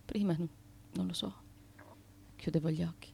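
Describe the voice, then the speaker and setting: An actress playing a fearful, haunted wife of an ancient Greek tyrant, intense and repetitive, in a theatrical radio drama. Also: female voice, on the low side, steady tone, moderate pace, gravelly, darkish tone, slightly rough, exaggerated voice. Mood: dazed, fragile.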